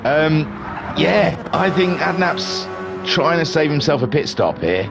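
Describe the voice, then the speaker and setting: A man's voice: fairly high pitch, very animated, measured pace, creaky. A middle-aged man from England with a mixed northern and southern English accent, chatting loosely while commentating a live racing stream and reading chat aloud. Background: game music and engine sounds.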